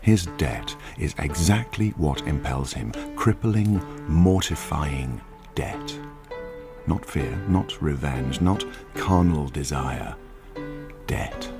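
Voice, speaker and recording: A man's voice: extremely low pitch, slow pace, music in the background, heavily acted. A man acting in a radio drama as a brooding crime novelist who muses aloud and questions himself as he narrates. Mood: brooding, intent. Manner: musing, asks himself questions. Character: brooding male crime novelist narrating his own plot. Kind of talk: intimate radio drama monologue.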